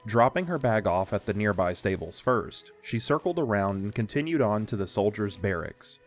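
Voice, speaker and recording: A man narrating a scripted audio drama in a measured, literary storytelling delivery. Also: on the low side, lively intonation, faint music behind.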